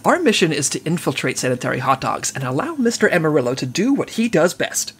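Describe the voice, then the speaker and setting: A brisk, businesslike section chief, as a woman acts her out, giving crisp mission briefings with a lively rise and fall in her voice, in an audio comedy drama. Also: deep voice, slight background noise.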